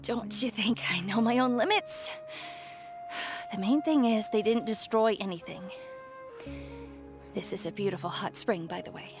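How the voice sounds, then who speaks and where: A woman's voice: medium pitch, moderate pace, pauses often, over music, natural voice. A woman voicing a proud, stoic tribeswoman in a video game, wry and chiding in a familiar way. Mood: dismissive, fond. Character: proud, teasing, wounded but stoic tribeswoman.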